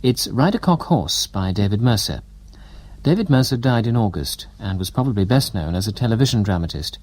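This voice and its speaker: British man, radio announcer, low voice, formal and measured, slightly husky, very dry close sound. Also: expressive, darkish tone, dry recording. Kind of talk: scripted announcements and weather forecast.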